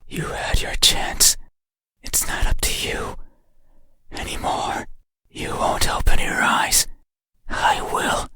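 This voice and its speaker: A lonely, menacing monster from an ASMR horror game. The voice is all breathy whisper, bright and sibilant. It creeps along very slowly in deliberate, halting phrases, yearning to be seen. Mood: menacing, insistent.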